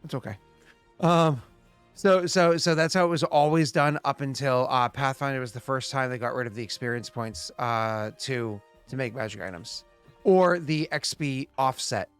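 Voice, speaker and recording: Talkative game master, late thirties. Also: male voice, mid-range voice, moderate pace, clean tone, faint music behind. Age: late 30s. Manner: talkative, explains rules at length with many restarts. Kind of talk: casual chat and game narration.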